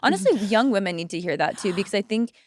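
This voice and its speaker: A woman's voice: mid-range voice, expressive, fast, no echo, clean studio sound. A woman in her early thirties co-hosting a podcast, talking casually and laughing easily. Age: 30s.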